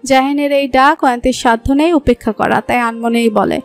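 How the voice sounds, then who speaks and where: A woman narrating a romantic story in a smooth, even storytelling voice. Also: sits high, normal speed. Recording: soft background music.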